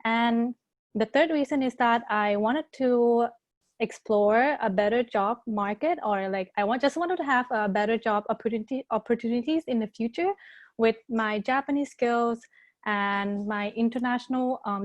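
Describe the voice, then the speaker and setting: Brief: female alumna panelist, brightish pitch, dead dry room, listing reasons methodically. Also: normal speed, no room sound. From Thailand. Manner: orderly, listing points with fillers. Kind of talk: webinar panel talk. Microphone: video call.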